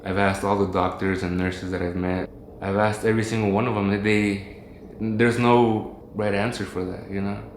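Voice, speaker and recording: A man's voice: on the low side, steady tone, normal speed, roomy sound, a little hiss or hum. A man chatting casually as a guest on a call, rambling and reflective, with easygoing slang. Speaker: phone guest recounting his illness.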